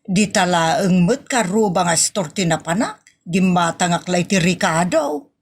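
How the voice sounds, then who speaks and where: A woman's voice: fairly low pitch, animated, a little slow, husky, exaggerated voice. A woman playing a scolding, meddling aunt in a comedy audio drama, with an Ilocano accent and a nagging, exasperated manner.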